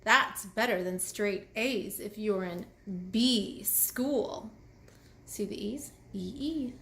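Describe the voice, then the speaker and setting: A woman reading a picture book aloud to children, pausing to explain letter sounds and ask the young listeners questions. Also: medium pitch, gravelly, a bit bright. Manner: addresses young children directly with questions and commentary. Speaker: children's read-aloud host and teacher. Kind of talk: picture book read aloud with phonics asides.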